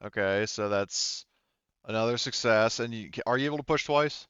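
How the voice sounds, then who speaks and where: A man's voice: medium pitch, normal speed, fairly bright tone, clean tone, no room sound, lo-fi sound. A man running a tabletop roleplaying game as game master, casually talking players through the rules.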